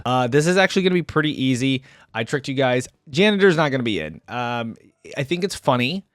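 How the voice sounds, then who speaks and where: A man's voice: medium pitch, clean tone, dry recording, clean studio sound. A man giving casual, informal reaction commentary on game character skins, thinking aloud as he goes. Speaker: reaction video host.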